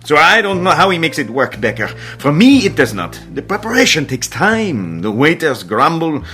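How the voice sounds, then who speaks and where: An actor playing a temperamental, proud French hotel chef with a French accent, fussy and theatrical, in a radio drama. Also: male voice, fairly high pitch, big pitch swings, moderate pace, bright, forceful tone. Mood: indignant, exasperated. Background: faint music.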